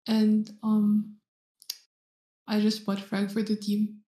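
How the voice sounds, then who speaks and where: A woman in her late teens introducing herself as a player, soft-spoken and hesitant. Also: medium pitch, completely flat delivery, slow, roomy sound.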